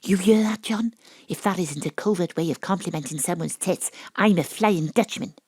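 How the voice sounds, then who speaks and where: Cocksure female sleuth, lowish voice, dry close sound, theatrical, conspiratorial, audio drama narration. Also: normal speed, a little mellow, husky, no echo. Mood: scandalised, excited. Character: brash, cocksure amateur female detective narrating her investigation.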